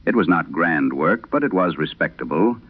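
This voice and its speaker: A man voicing an eighty-one-year-old former telephone operator recounting her past in a measured, grave, literary way. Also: deep voice, expressive, breathy edge, no echo. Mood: grave, confiding. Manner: measured, grave, literary first-person storytelling. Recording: muffled band-limited old radio sound.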